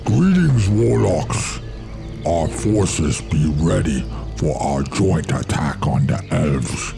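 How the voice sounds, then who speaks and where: An actor playing a grim, vengeful fantasy warlord in a game, declaiming forcefully in a gravelly voice. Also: male voice, very deep voice, lively intonation, takes it slowly, full character voice. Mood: commanding. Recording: loud music under the voice.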